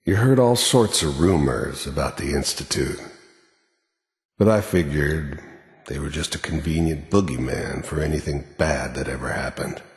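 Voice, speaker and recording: Hardened game mercenary, extremely low gruff voice, American accent, cynical, terse, world-weary, echoing reverb. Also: male voice, normal speed. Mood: cold, reflective. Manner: terse, matter-of-fact, sardonic. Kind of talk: video game character monologue and dialogue. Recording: echoey hall-like reverb.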